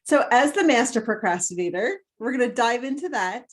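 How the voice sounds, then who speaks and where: A woman's voice: on the high side, animated, a little fast. A woman hosting a podcast interview, reading the guest introduction and asking questions in a friendly way. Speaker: podcast host, therapist.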